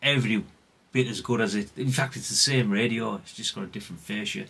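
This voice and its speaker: A middle-aged British man talks through hobby repairs off the cuff. His voice is husky and creaky, with a forceful, bright edge. He rambles along chattily and plainly, often tagging questions onto his remarks. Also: lowish voice, fairly quick. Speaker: hobbyist radio repair vlogger. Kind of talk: casual unscripted workbench commentary.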